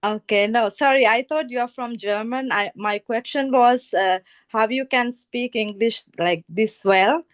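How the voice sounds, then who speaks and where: A woman student on a video call, asking a teacher a question in a shy, halting way. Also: fairly high pitch.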